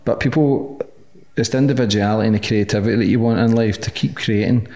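A man's voice: on the low side, fast, mellow. A man interviewing a guest in casual chat, blunt and swearing freely. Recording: echoey room.

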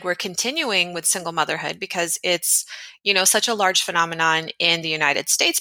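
A woman's voice: fairly low pitch, sharp tone, dry recording. A woman hosting a podcast interview, giving affirming interjections and using religious phrases. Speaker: podcast host.

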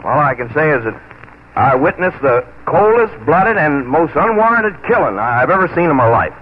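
A man acting a crooked frontier sheriff, emphatic and deliberate, in a 1940s radio western. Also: a little high, sing-song. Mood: solemn, accusing. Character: crooked frontier sheriff giving self-serving sworn testimony. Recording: muffled, noisy 1940s radio broadcast.

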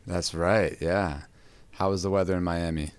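A man hosting a casual podcast about guitar, his voice raspy from a cold, talking in a relaxed, rambling way with many fillers. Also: sits low, moderate pace, dry recording. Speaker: podcast host.

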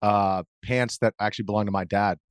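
A man's voice: lowish voice, steady tone, a little fast, dry recording, studio quality. A man giving an informal, matter-of-fact first-hand account as an interview guest. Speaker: interview guest, video journalist. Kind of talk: casual first-hand recounting.

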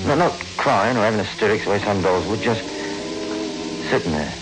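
An actor playing a hard-bitten, slangy fugitive truck driver in a 1960s radio suspense drama. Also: male voice, fairly low pitch, lively intonation, a little fast, music in the background, natural voice. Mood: grim, brooding. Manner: slangy, gruff, narrating and arguing. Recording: very noisy old radio recording.

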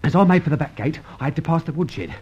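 An actor playing a plain-spoken schemer in an old radio crime adventure. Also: male voice, on the high side, lively intonation, quick pace, darkish tone, breathy edge. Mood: conspiratorial. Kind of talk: old radio drama acting. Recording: muffled old radio recording.

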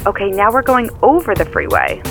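A woman on a stakeout in a naturalistic audio drama, her pitch moving freely. She gives a chatty, slightly flustered running report as she drives. Music and car sounds sit behind her voice. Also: wildly swooping pitch, brisk. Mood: focused, tense. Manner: conversational, hesitant play-by-play reporting.

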